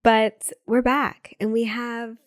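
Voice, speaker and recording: A young woman co-hosting a podcast in casual chat, bubbly and quick with asides, laughing often, sounding a bit sick with a cold. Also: a little high, little pitch movement, no echo, studio quality. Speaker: podcast co-host.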